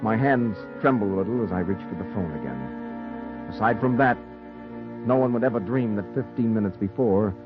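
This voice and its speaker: An actor playing a haunted newspaper reporter with a secret killing, in a brooding 1940s noir radio drama. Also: male voice, on the low side, close to an everyday voice. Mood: brooding, ominous. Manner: brooding, deliberate first-person storytelling. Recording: muffled 1940s radio recording, music behind.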